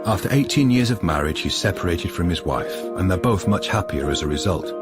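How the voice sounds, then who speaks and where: A man's voice: deep voice, expressive, brisk, loud music behind the voice. A man delivering scripted narration for a radio drama.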